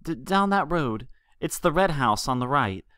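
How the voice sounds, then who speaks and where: A man's voice: a little high, expressive, moderate pace, a bit bright, no echo, studio quality. A man voicing a frightened little boy pony in a storybook, stammering, breathless and pleading.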